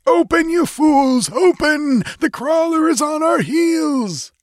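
A man voicing a frightened male guide who pleads and shouts in a shrill, panicky voice. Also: expressive, normal speed, no echo, clean studio sound. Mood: frantic.

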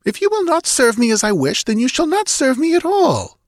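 A man's voice: extremely high pitch, wildly swooping pitch, brisk, dry recording, clean studio sound, voice pushed up, exaggerated voice. A man voicing an imperious, decisive princess in a fantasy story, with a curt, commanding manner.

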